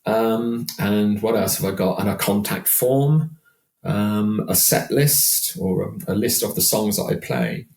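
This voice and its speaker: A man's voice: lowish voice, a little slow, creaky, darkish tone. A British man aged sixty chatting casually as an interview guest, rambling and self-deprecating. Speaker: podcast guest, working function singer. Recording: roomy, echoing sound.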